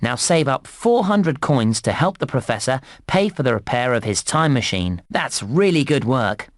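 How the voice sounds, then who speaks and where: A man playing a cheerful, encouraging theme park guide in a game, giving scripted instructions in a clear presenter's delivery. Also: medium pitch, sing-song, moderate pace, no echo, studio quality. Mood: helpful, instructive. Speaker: game narrator and tutorial guide.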